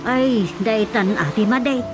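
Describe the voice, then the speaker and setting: Woman acting high-pitched, fed-up, defiant wife. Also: measured pace, noisy background, close to an everyday voice. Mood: concerned, discussing. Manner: sharp-tongued, confrontational. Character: defiant, fed-up battered wife standing her ground. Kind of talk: radio drama acting.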